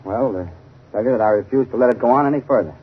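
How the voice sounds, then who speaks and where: A man's voice: medium pitch, fast talker, slightly rough, close to an everyday voice. An actor playing a timid, conscience-stricken family man, hesitant and earnest, in a 1930s radio mystery. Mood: nervous, resolute. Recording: dull 1930s radio recording.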